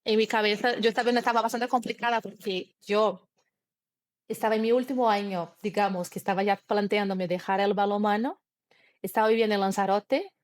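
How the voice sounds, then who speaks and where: A woman in her thirties talks through her story in an interview, earnest and a little halting as she restarts phrases in a language that isn't her first. Her pitch sits in the middle range, and the recording is dry, with no room echo. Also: fairly even intonation. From Brazil. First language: Portuguese. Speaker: guest. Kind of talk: conversational interview, personal story.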